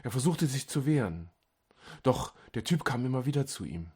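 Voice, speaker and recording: A man reads a short story as an audiobook narrator. His mid-pitched voice moves expressively up and down. He phrases dramatically and leaves deliberate pauses. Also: big pitch swings, a little mellow, slightly rough.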